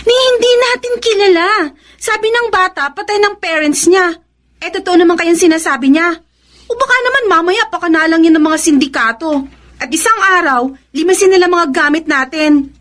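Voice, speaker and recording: A woman's voice: very high-pitched, moderate pace, a bit bright. A woman playing a spoiled, suspicious daughter in a radio drama, whiny and scornful.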